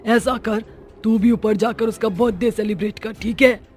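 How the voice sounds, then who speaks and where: Witch for horror story, female narrator acting it out: vengeful, gloating, menacing, fairly high female voice, light music underneath. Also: steady tone, a little mellow, lightly acted. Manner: menacing, gloating, drawn-out threats. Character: vengeful, gloating female witch who hunts birthday celebrants.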